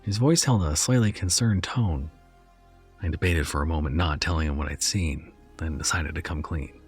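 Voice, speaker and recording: A man narrating a first-person horror story in a measured, somber way. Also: a bass voice, soft background music.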